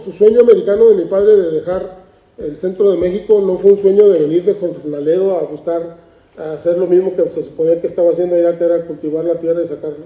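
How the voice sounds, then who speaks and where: A man speaks in a fairly high voice, circling back and restarting his sentences as he tells his stories. The recording is roomy and a little dull, as if captured in an event hall. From Mexico, Tijuana border. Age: 60s. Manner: relaxed storytelling with frequent restarts and repeated words. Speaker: artist, book author. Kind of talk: conversational book presentation. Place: cultural institute event room.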